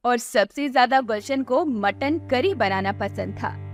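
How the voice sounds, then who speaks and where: A woman narrating a scripted cartoon story in an expressive storytelling style. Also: fairly high pitch, unhurried, faint music behind.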